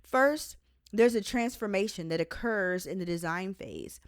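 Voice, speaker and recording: A woman reading their own devotional book aloud as an author, with measured, deliberate and emphatic phrasing. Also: mid-range voice, lively intonation, smooth, no echo, clean studio sound. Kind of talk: devotional audiobook narration.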